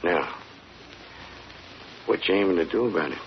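An actor playing a loyal, soothing, folksy country neighbor with a rural American accent, in an old-time radio drama. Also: male voice, low-pitched, lively intonation, moderate pace. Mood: somber. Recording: dull, noisy old radio recording.

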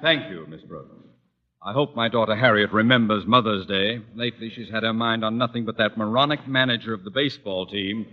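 A man's voice: fairly low pitch, a little fast, lightly acted. An actor playing a pompous, irascible middle-aged male school principal in a 1940s radio sitcom, clipped and imperious. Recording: dull vintage radio recording.

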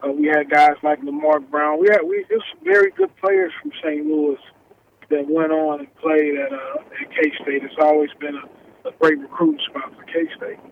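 A man's voice: on the high side, expressive, normal speed, slight background noise. A man calling in as a guest football analyst, easygoing, with hesitant fillers. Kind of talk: phone-in radio interview. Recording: tinny phone line.

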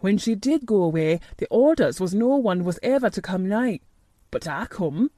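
A woman's voice: medium pitch, expressive, warm tone, dry recording, natural voice. A woman voicing a grumpy but tender old male gardener with a Yorkshire accent and dry manner in an audiobook. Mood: grumpy tenderness. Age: older. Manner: dry, grumpy, slow-spoken, dialect speech. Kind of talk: audiobook character dialogue.

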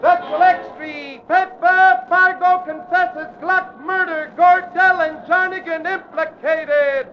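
An actor playing a shrill, insistent street newsboy, hawking papers in drawn-out calls in an old radio drama. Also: male voice, steady tone. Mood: urgent hawking. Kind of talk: 1940s radio acting, street-vendor crying. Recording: dull old radio recording, music behind.

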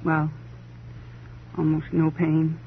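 A woman's voice: low-pitched, completely flat delivery, takes it slowly, creaky, full character voice. An actress playing a wealthy, proud divorced woman in a 1950s radio crime drama, with an arch, sardonic, flippant manner and affected society phrasing. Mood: wry, wounded pride. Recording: muffled old radio recording, hum and hiss.

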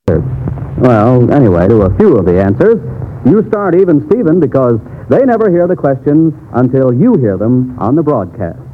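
A man's voice: medium pitch, sing-song, normal speed. A man announcing a 1940s radio show and introducing its host. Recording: narrow-band old radio broadcast, faint noise.

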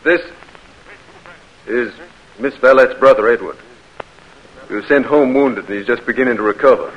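An actor playing a stern, dutiful Confederate captain in an old radio drama. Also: male voice, mid-range voice, moderate pace, close to an everyday voice. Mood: composed. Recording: dull, noisy vintage radio recording.

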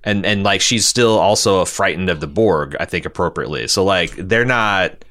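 A man's voice: deep voice, moderate pace, fairly bright tone. A man, co-hosting a podcast in casual chat and reading listener messages aloud.

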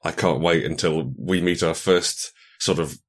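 A man's voice: very deep voice, a little slow. A man guiding players through tabletop character creation, explaining rules in a playful, easy manner. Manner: explanatory, playful, laughs easily. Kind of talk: casual tabletop chat.

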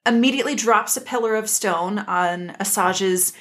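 A woman's voice: mid-range voice, moderate pace, a bit bright. A woman co-hosting a podcast, giving an enthusiastic, casual story recap in a playful, slangy way. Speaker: podcast co-host.

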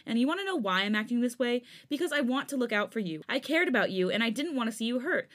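Woman voicing an angry young man yelling. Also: brisk, natural voice. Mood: angry shouting. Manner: yelling, pitch raised. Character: furious young man, shouting and bitter. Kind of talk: fan fiction read aloud.

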